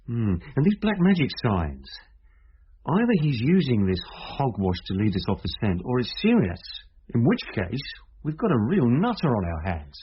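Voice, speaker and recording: An actor playing a brisk, sceptical police inspector in a radio drama, direct and authoritative in manner. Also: male voice, mid-range voice, wildly swooping pitch, husky, exaggerated voice. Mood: reasoning, confident. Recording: dull, faintly noisy radio drama recording.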